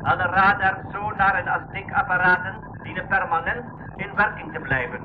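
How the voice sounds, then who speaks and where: A man's voice: high voice, normal speed. A man playing a stern navy radio operator in a radio play, giving formal, emphatic alerts over a radio transmission. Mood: urgent, official. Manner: formal, emphatic, repeating announcements. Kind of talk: 1980s radio play acting. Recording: tinny, noisy radio transmission sound.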